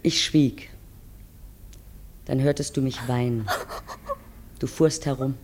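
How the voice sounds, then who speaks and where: A woman playing a wistful wife who narrates her memories in a measured, poetic radio play style. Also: extremely low pitch, fairly even intonation, darkish tone, slight background noise. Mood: wistful, intense. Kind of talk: dramatic first-person radio play narration.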